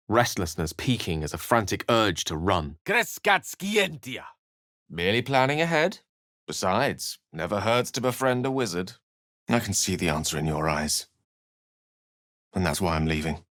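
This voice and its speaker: A man's voice: sits low, fairly bright tone, no room sound, clean studio sound. A man playing a refined, eloquent wizard in a video game, courteous and wry, with articulate, formal and theatrical speech. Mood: incanting spells. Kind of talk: video game voice lines and narration.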